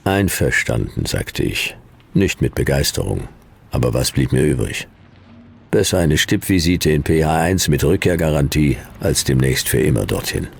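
An actor playing a world-weary, wry private detective in a futuristic radio crime drama, gravelly, laconic and deadpan in clipped sentences. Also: male voice, rumbling low, normal speed, exaggerated voice. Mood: dry, laconic. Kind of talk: noir radio drama, first-person narration and dialogue.

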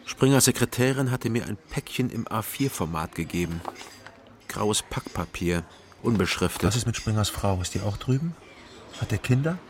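Actor as a low-voiced, restrained private detective. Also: male voice, lively intonation, moderate pace, slight background noise, lightly acted. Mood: probing. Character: dry, persistent male private detective, discreet and watchful. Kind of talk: radio drama first-person narration and dialogue.

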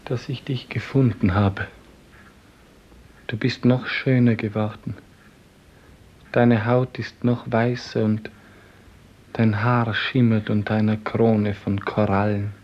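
Slow, rapturous dreaming lover, old radio. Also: male voice, fairly low pitch, fairly even intonation. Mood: tender, rapturous. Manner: solemn, poetic, slow declamation. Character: devoted dreaming office clerk, tender and rapturous lover. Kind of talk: 1950s German radio play acting. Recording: dull 1950s radio recording.

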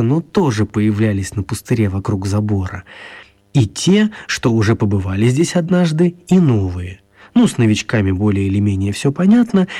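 A man narrating a children's radio play as a scripted storyteller, with measured, wry phrasing. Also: medium pitch, mellow.

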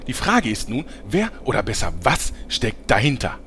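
A man's voice: mid-range voice, sing-song, moderate pace, fairly bright tone, slight background noise. A man playing a greedy, cowardly showbiz agent who presents theatrically in a German parody audio play. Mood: grandiose.

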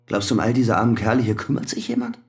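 A man's voice: mid-range voice, huge pitch swings, quick pace, gravelly. An actor playing a weary, defensive male prison inmate in a radio drama, speaking naturalistically. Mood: bitter, agitated.

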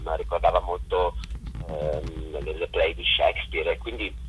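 A man's voice: low voice, little pitch movement, measured pace, a bit bright, slight background noise, rough recording. A man giving a conversational interview as a theatre director, reflective and often searching for his words.

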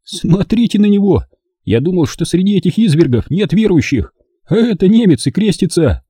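A man's voice: sits high, animated, normal speed, mellow, slightly rough, dry recording, clean studio sound, pitched above the performer's own voice. A man voicing a wounded male partisan who exclaims in scornful surprise. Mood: astonished.

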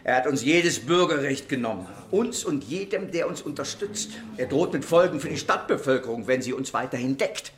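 Man acting grave rebel leader, earnest, urgent, declamatory, mid-pitched male voice, historical radio play. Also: lively intonation, moderate pace, few pauses, a little hiss or hum, close to an everyday voice. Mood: alarmed, urgent.

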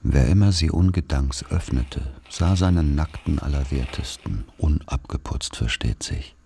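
A man playing a wry narrator who recalls his boarding-school boyhood, in measured literary radio play narration. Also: rumbling low, vocal fry, mellow, a little hiss or hum, full character voice. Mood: wry, deliberate.

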